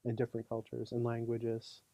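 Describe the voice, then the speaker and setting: A man's voice: medium pitch, monotone, fairly quick, creaky, warm tone, dry recording. A man with a mid-range voice talks as a guest on a podcast, easygoing and chatty, with lots of "you know." The recording is dry and close-miked. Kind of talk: casual conversation.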